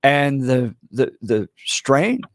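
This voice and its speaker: A man's voice: medium pitch, very animated, extremely slow, no echo. A man hosting a talk radio show, making emphatic points in list-like runs from a studio mic. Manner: emphatic, lectures in lists. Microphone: studio microphone.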